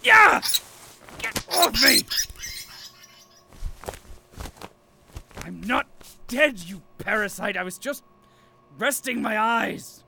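An actor playing a cantankerous, pompous storyteller who grumbles and narrates in florid audio drama style. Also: male voice, very high-pitched, big pitch swings, slow pace, gravelly, bright, forceful tone, breathy edge, exaggerated voice. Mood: outraged, irritable. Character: cantankerous, theatrical old male storyteller, easily outraged.

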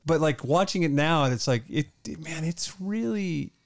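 A man's voice: a little high, expressive, normal speed, gravelly, no room sound, studio quality. Easygoing male podcast co-host, mid-range voice, chatty and casual. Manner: laid-back, chatty, lots of fillers like "man" and "dude". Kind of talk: casual movie discussion.

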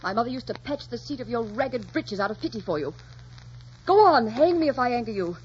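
A woman's voice: a little high, expressive, fairly quick, darkish tone, close to an everyday voice. An actress playing a haughty, wounded noblewoman in a 1940s radio drama, theatrical, scornful and impassioned. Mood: scornful, defiant. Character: haughty, defiant widowed English noblewoman, sharp-tongued and wounded. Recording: muffled, noisy 1940s radio recording.

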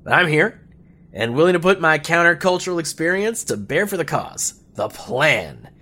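An actor playing an eager, grandiose countercultural hacker in an audio drama, wordy and declamatory. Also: male voice, a little high, very animated, normal speed, sharp tone, full character voice. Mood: grandiose, hopeful. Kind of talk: scripted audio drama acting.

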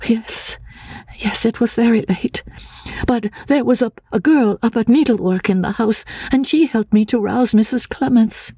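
A woman playing a timid, high-voiced, childlike young woman. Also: normal speed, warm tone, dry recording. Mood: wistful. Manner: hesitant, stammering, breathy, repeating words. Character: timid, confused young woman, asylum escapee, childlike and anxious. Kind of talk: dramatic audiobook reading of classic literature.

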